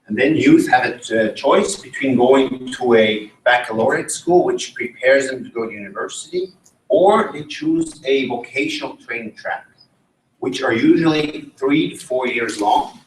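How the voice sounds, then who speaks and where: A man's voice: mid-range voice, big pitch swings, a little slow, a little hiss or hum. A man from Switzerland giving an academic conference talk and putting questions to the audience. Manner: engaging, asks the audience questions. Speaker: conference presenter. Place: conference room. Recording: roomy, mic at a distance.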